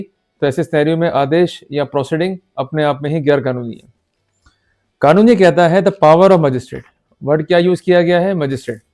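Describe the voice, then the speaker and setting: Higher-pitched man, didactic lecturer. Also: a little mellow. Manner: didactic, repeats stock phrases and checks understanding with questions; reads English passages slowly and deliberately. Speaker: legal educator. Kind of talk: explanatory law lecture mixing Hindi and English.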